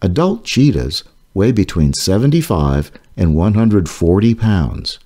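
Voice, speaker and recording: A man with a very deep, warm voice reads a children's book aloud at an unhurried pace. His intonation swoops up and down in a lively way to keep young listeners interested. He sounds gentle and clear, like a storyteller at bedtime. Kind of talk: slow children's read-aloud. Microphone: voice-over over book pages.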